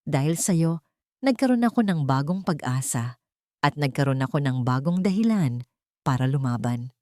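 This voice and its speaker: A woman voicing a gentle, devoted man in love in an audiobook, speaking tenderly and sincerely. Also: low-pitched, expressive, normal speed, dry recording, studio quality. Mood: tender, grateful. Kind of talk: audiobook character dialogue.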